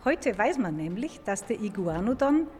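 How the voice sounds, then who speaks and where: A woman's voice: mid-range voice, sing-song, normal speed, darkish tone, soft background music. A woman paleontologist explaining science to children in an informal, emphatic way, recorded in a large echoing museum hall. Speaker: museum paleontologist, guest expert.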